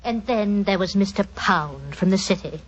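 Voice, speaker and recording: Woman playing an animated, wistful English storyteller. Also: mid-range voice, normal speed, natural voice. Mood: nostalgic. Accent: British. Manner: expressive, storytelling. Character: wistful, warm Englishwoman recalling her childhood. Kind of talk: 1940s radio acting, first-person narration. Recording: muffled 1940s radio recording.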